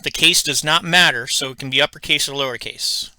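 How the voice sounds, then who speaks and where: A man giving an unscripted, step-by-step software tutorial in a halting, methodical, instructional way. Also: on the high side, clean tone, no echo. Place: indoors at a computer, screen-recording voice-over.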